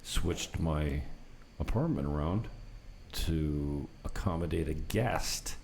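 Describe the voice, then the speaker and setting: Very deep-voiced man rambling, noisy room. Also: slow pace, a little mellow. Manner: rambling, unfiltered, mild swearing. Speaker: talk show host. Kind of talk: unscripted casual podcast chat with anecdotes. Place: apartment set up for recording. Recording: noticeable background noise.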